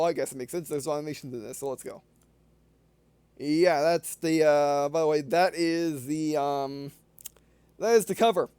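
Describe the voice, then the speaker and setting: A man's voice: a little high, warm tone, dry recording. A man hosting a gameplay video with casual, rambling, digressive commentary, often trailing off mid-sentence.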